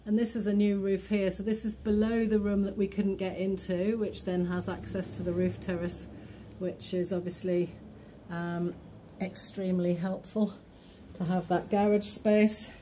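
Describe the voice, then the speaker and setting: A woman's voice: medium pitch, level tone, moderate pace, hardly any pauses, mellow, slight background noise. A woman estate agent walking a camera through an empty townhouse and pointing out its features in a practical, matter-of-fact way, filmed on a handheld phone. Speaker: estate agent giving a property tour. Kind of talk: walkthrough tour commentary. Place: empty, run-down townhouse indoors. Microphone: phone camera held while walking. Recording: echoey bare rooms, faint ambience.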